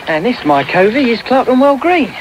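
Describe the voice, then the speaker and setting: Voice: medium pitch, big pitch swings, normal speed, lightly acted. An actor in a radio drama playing a crafty young pickpocket, knowing and conspiratorial as he shows the ropes. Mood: sly. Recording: very noisy medium-wave radio tape.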